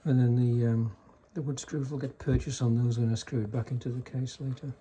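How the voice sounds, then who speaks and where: A man giving a casual, unscripted technical demonstration in a halting, low-key way, like a restorer explaining equipment. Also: flat delivery, noisy background. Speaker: vintage radio restorer demonstrating a set.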